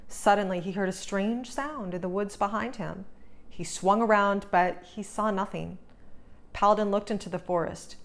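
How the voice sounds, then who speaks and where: A woman narrating a children's storybook as a read-aloud host, with a dramatic, expressive storytelling delivery. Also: medium pitch, moderate pace.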